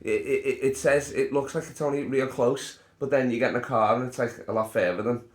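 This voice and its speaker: A man's voice: mid-range voice, steady tone, a little fast. A young man with a Scouse accent hosting a podcast, chatting casually, laughing often and swearing freely. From Liverpool, England. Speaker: podcast host. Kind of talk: casual chat. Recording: echoey room.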